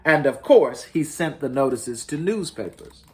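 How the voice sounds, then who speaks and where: A man reading a storybook aloud to children as host, in an expressive, deliberate and emphatic storytelling manner. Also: a little high. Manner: deliberate, emphatic storytelling with dramatic rises and falls.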